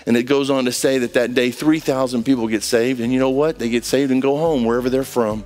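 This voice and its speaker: A man's voice: medium pitch, fairly even intonation, a little fast, a little mellow. A man from Brazil preaching in an emphatic, colloquial style, acting out bits of dialogue as he goes. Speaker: preacher, pastor. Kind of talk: animated conversational sermon.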